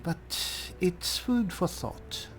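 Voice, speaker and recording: A man playing a haughty, wry high elf spellsword in a fantasy game roleplay, speaking deliberately with musing pauses. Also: soft background music. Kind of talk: roleplay acting over a fantasy video game.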